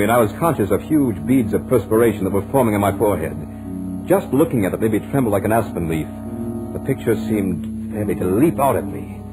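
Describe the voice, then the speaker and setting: An actor playing an anxious student who narrates his mounting dread in a dramatic, deliberate 1940s radio horror style. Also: on the low side, lightly acted, low-quality recording. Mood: horrified. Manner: dramatic, deliberate, suspenseful delivery. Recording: old 1940s radio recording, music behind. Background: dramatic musical score.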